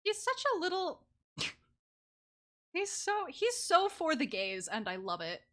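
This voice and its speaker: A woman streaming a video game with casual live commentary, giggly and excitable in her reactions. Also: extremely high pitch, slow.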